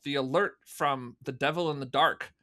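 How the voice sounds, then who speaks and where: A man's voice: mid-range voice, normal speed, pushed and bright. A man speaking as an interview guest in casual chat, with a self-deprecating manner. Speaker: interview guest, fan audio drama producer.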